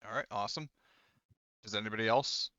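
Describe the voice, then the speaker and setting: A man talking casually as the game master of a tabletop game, often halting and repeating words as he goes. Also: fairly low pitch, pushed and bright, clear, resonant tone, no room sound, dull audio.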